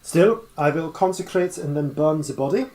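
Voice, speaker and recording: A man voicing a formal, learned male cleric who explains things in measured, lecturing tones. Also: on the high side, animated, darkish tone, pitched above the performer's own voice, natural voice. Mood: explaining.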